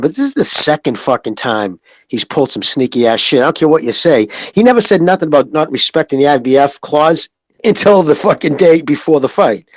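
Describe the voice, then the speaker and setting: A blunt, sweary man from the United States in a casual, rambling sports chat. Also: a little high. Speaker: podcast panelist.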